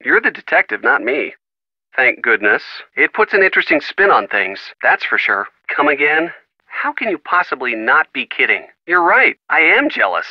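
A man's voice: fairly high pitch, sing-song, normal speed, dry recording. A man playing a supportive young boyfriend in a video game, casual, wry and affectionate over a phone line. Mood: teasing, concerned. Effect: telephone filter. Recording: band-limited phone-line sound.

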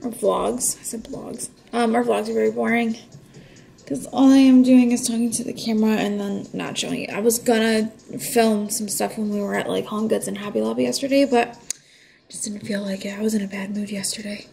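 A woman's voice: a little high, fairly even intonation, brisk, creaky, dark tone. A woman around thirty talks in a casual, chatty vlog style. She speaks to a camera held at arm's length in a room at home, as if filling viewers in on an ordinary day. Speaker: vlogger.

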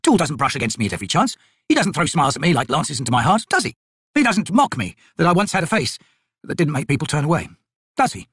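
A man's voice: fairly high pitch, very animated, quick pace, slightly rough, no room sound, studio quality, pitched above the performer's own voice. A man voicing a wry, prickly, self-deprecating scarred soldier in a fantasy audiobook, with a grumbling manner. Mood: snapping, bitter. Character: scarred young soldier-scout, male, wry, awkward, prickly.

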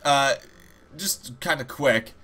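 A man's voice: medium pitch, slow pace, bright, forceful tone. A man giving casual gameplay commentary as host, rambling and joking with plenty of swearing and mock-dramatic asides. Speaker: gaming video host. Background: game music.

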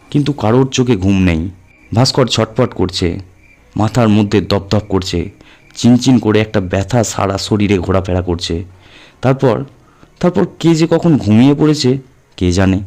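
A man's voice: lowish voice, mellow. A man reading a horror audio story as a narrator, in a dramatic scripted style. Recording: music under the voice. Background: background music.

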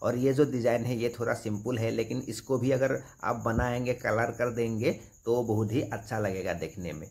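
A man's voice: mid-range voice, fairly even intonation, normal speed, few pauses, a little mellow, breathy edge. A young man narrating an informal carpentry how-to, explaining each step with some repetition. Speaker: carpenter demonstrating on own channel.

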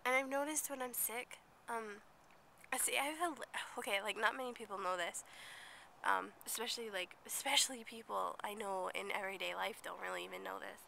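A woman's voice: medium pitch, brisk, creaky, bright, forceful tone, slight background noise. A young woman with a cold, chatting in a rambling vlog as she films herself outdoors at night, sometimes dropping to a whisper. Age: young adult. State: sick with a blocked nose. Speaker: vlogger. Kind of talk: casual vlog chat. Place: outdoors at night near a playground and parking lot. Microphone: handheld camera at arm's length.

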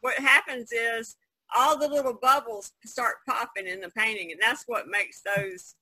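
Bright-voiced woman chatting about craft. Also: fairly high pitch, normal speed, breathy edge, no room sound, poor audio. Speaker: podcast participant, artist. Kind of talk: casual chat, explaining a technique. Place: online video conference call, indoors. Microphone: video call.

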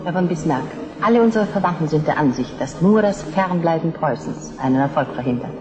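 A woman's voice: sits low, expressive, brisk, a crowd in the background. An actress playing an indignant, urgent Prussian queen in a German historical radio drama. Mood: insistent. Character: insistent, indignant Prussian queen, wife of the king. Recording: dull lo-fi radio play, echoing hall.

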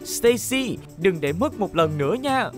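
A caring talking rescue vehicle in a children's cartoon. The voice is medium in pitch, earnest and friendly. Music is clearly audible underneath. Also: sing-song, measured pace. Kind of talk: animated children's cartoon dub.

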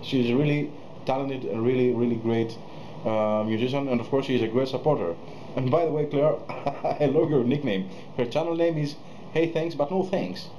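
A man in his thirties, a musician hosting his own channel, talks casually to camera in a small room at night, pausing often with fillers and restarts. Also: medium pitch, dark tone, muffled recording, slight background noise. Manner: hesitant, many fillers and restarts. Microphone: webcam close to face.

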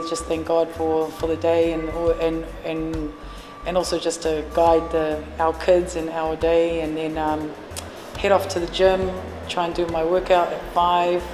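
A woman's voice: deep voice, level tone, a little slow. A woman in her forties who runs a café and trains fitness classes, answering interview questions earnestly with many fillers and restarts. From New Zealand. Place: café, indoors. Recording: echoey room with long reverb and music behind.

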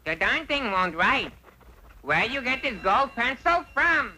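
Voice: medium pitch, big pitch swings, measured pace, pushed and bright, slight background noise, exaggerated voice, rough recording. An actor voicing an earnest, aggrieved young prince in a vintage cartoon. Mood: plain, earnest. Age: young adult.

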